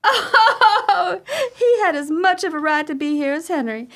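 A woman acting in a radio play as a fretful, soft-hearted grieving sister with a plaintive manner. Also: very high-pitched, animated, measured pace, full character voice. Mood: tearful.

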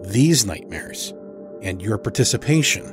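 A man hosting a horror podcast, reading scripted announcements in a measured presenting style. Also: fairly low pitch, lively intonation. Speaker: podcast host. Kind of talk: scripted narration. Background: music.

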